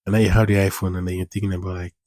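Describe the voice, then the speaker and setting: A man's voice: deep voice, flat delivery, very fast, darkish tone, no echo, clean studio sound. A man in his twenties hosting a casual solo podcast, rambling, repeating phrases and using informal slang. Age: 20s. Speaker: podcast host.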